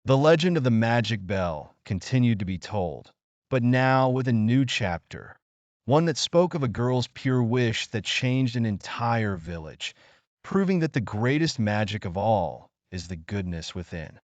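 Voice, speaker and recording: A man narrating a scripted children's story in a measured, earnest storybook manner. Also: mid-range voice, sing-song, dry recording, muffled recording.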